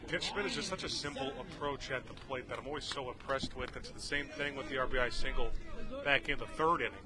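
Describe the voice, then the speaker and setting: A man's voice: medium pitch, quick pace. A man giving conversational analysis as color commentator on a baseball broadcast. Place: outdoors at a baseball game. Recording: ballpark crowd noise behind. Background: crowd.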